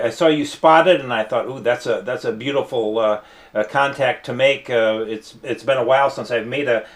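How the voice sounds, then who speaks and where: A man working as a ham radio operator, chatting in a friendly, enthusiastic way and using many fillers as he talks. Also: medium pitch. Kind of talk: radio contact exchange. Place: home radio station, early morning.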